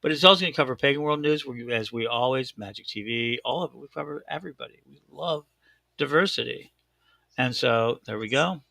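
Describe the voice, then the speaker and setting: A man with a thick Chicago accent hosting a casual chat show, talking in an excitable, rambling way and correcting himself often. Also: mid-range voice, brisk, creaky, no echo. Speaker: host. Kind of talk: casual live-stream chat.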